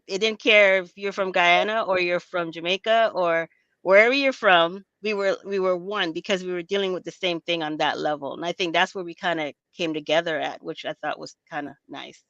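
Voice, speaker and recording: A woman's voice: lowish voice, brisk, fairly bright tone, no room sound, muffled recording. A woman raised in America by Trinidadian parents, chatting casually on a podcast, laughing often and restarting her sentences. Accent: American, with Trinidadian lilt at times. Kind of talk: casual conversation.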